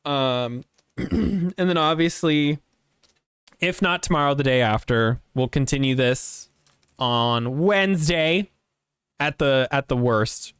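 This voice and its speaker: A man streaming a game, hoarse from a long day of reading aloud, talking in a casual, rambling way. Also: mid-range voice, takes it slowly, a bit bright, no echo, studio quality.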